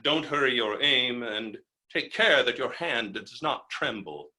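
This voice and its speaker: An actor playing a hot-headed, stammering landowner who is helplessly falling in love, in a theatrical staged reading of a farce. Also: male voice, mid-range voice, animated, bright, forceful tone. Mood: halting, tender. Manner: theatrical, stammering, swings from halting to bellowing.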